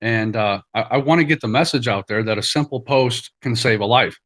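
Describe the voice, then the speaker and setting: A man's voice: mid-range voice, fairly quick, a bit bright. A man hosting a live stream in a casual, loosely conversational, plain-spoken way.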